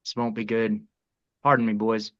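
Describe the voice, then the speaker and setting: A man's voice: on the low side, level tone, moderate pace, muffled recording, natural voice. A man acting a shrewd oilman in his fifties in a script read, blunt and assured. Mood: brisk, blunt. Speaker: actor.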